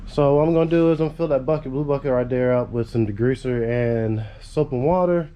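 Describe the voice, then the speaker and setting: A man's voice: medium pitch, fast, clean tone, lo-fi sound. A man with a head cold, stuffy and congested, casually rambling through a car build in a garage. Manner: laid-back, rambling, casual swearing.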